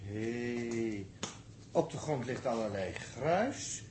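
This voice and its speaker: A man's voice: mid-range voice, expressive, slow. A man acting a sardonic, complaining space test crewman in a 1960s Dutch radio drama. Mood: pondering. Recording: noisy vintage 1960s radio recording.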